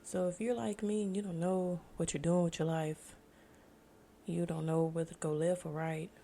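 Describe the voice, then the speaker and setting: A woman's voice: lowish voice, flat delivery, normal speed, vocal fry, mellow. An American woman in her twenties talking informally and reflectively in a casual solo podcast monologue. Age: 20s. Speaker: podcast host. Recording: noticeable background noise.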